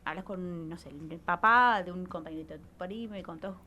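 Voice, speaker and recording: Argentine woman psychologist chatting on radio, kinda low voice, keeps checking in with little tag questions, some noise behind. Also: brisk, sharp tone. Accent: Argentine Spanish. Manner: frequent tag questions seeking agreement. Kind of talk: conversational explanatory interview talk. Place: radio studio.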